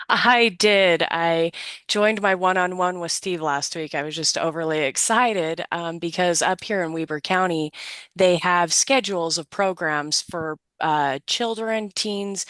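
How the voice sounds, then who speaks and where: A woman with a fairly low voice gives an informal, detailed explanation to a group. The sound is dry and close, and she comes across as a participant passing on useful information. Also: slow, fairly bright tone, clean tone, no room sound.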